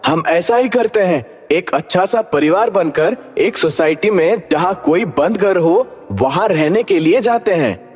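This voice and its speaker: A man's voice: sits high, animated, unhurried, darkish tone, slightly rough, full character voice. A man voicing a polite, cautious male cartoon ghost who poses as a family man. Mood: thoughtful. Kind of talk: animated Hindi story dialogue. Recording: long hall reverb, music underneath.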